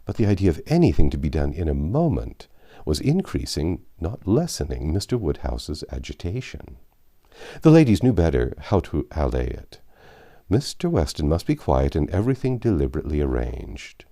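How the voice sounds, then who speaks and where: A man's voice: a bass voice, big pitch swings, moderate pace, warm tone. A man narrating a classic novel aloud as an audiobook.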